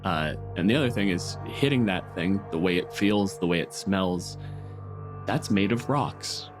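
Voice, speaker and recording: A man's voice: low-pitched, animated, a little slow. A man narrating a tabletop roleplaying game as game master, moving between dramatic scene-setting and casual banter. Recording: music bed under the voice. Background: clear background music.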